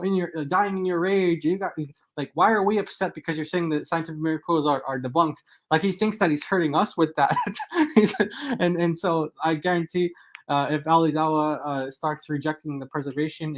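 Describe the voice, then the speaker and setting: Guy guesting on a stream, kinda high voice, rambles with like and kind of. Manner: loose and rambling, many likes and kind ofs. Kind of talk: casual livestream discussion.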